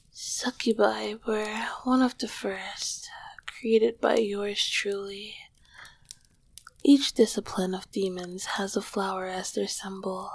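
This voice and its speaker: Woman acting as ancient demon queen, female, whispered ASMR roleplay, husky breath, creaky edge, slow regal delivery, tender, flirtatious. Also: mid-range voice, exaggerated voice, low-quality recording. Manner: regal, old-fashioned, affectionate phrasing.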